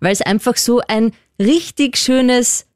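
A young woman co-hosting a casual podcast chat, talking in a lively, colloquial way and laughing often. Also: fairly high pitch, measured pace, dry recording, studio quality. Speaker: co-host.

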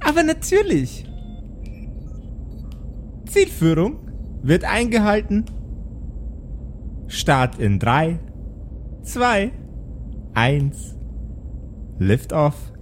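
Ship's computer, put on by a man: raised pitch, formal, servile announcements for a sci-fi audio play, noisy. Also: huge pitch swings, drawn-out words, long pauses, full character voice. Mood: obliging, official. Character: obliging spaceship computer announcing commands. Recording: clearly noisy background.

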